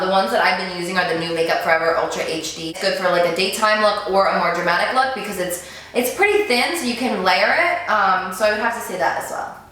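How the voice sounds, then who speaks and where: Chatty young woman, gushing, full of "like"s, roomy echo, mid-pitched. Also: fairly bright tone. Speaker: beauty vlogger. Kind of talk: casual Q&A chat to camera.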